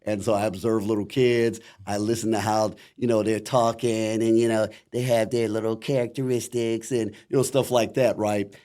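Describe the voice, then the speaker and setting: A middle-aged man with a deep voice and a Midwestern American accent, chatting reflectively as a voice actor guest in an interview. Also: little pitch movement, moderate pace, hardly any pauses, mellow. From Akron, Ohio, USA. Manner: rambling, many "you know" fillers, reflective. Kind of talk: casual interview talk.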